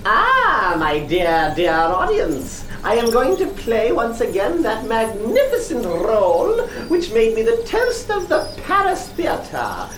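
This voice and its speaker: Casting: hysterical stagey madman in a lighthouse horror play, slow grand delivery, swinging wildly in pitch, roomy sound. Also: wildly swooping pitch, fairly bright tone, heavily acted. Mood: mad, grandiose. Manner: theatrical, swooping, cackling delivery. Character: hysterical French lighthouse keeper, theatrical madman, former stage actor. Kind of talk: horror radio play acting. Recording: roomy, distant, faintly noisy.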